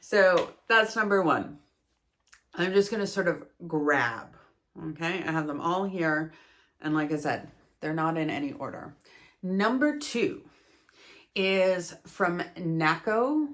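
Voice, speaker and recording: Canadian woman, host of a craft review video, low-pitched and unhurried, rambling and self-deprecating. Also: animated, fairly bright tone. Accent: Canadian English. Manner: chatty and rambling, self-deprecating, laughs at herself. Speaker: yarn reviewer, channel host. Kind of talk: casual chat, product review.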